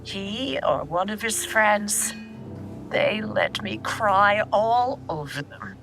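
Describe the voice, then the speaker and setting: An elderly grandmother in an audio drama, candid and steady as she recalls her past. Her delivery is unhurried and reflective, with expressive swings in pitch. Faint music sits behind the voice. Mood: somber, reflective. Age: older. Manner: reflective, measured storytelling.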